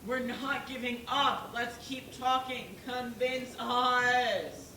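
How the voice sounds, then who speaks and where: A woman's voice: on the high side, fairly even intonation, slow, sharp tone. A female performer playing an earnest, persistent pig juror in a stage play, plain-spoken and insistent. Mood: challenging. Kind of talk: amateur stage play acting. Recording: distant echoey stage recording, clear noise.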